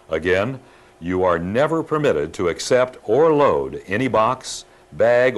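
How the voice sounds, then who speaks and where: A man narrating a scripted instructional training film in a measured, formal, instructive manner. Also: lowish voice.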